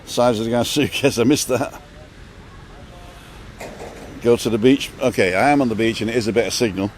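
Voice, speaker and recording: A man's voice: mid-range voice, normal speed, a little hiss or hum. A man from England hosting a live street vlog, chatting cheerfully with passers-by and echoing their answers. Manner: chatty and upbeat, greets strangers and repeats their answers. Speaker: live-streaming vlogger stopping passers-by. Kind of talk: casual street interviews on a livestream. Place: outdoors on a seafront street at dusk.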